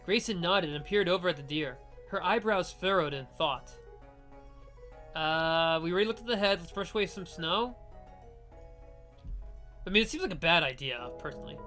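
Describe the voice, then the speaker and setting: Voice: mid-range voice, lively intonation, moderate pace, pauses often, pushed and bright. Someone playing a visual novel, reads it out expressively, chats casually, music behind. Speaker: game streamer or let's player. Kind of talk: narrating and commenting on a visual novel.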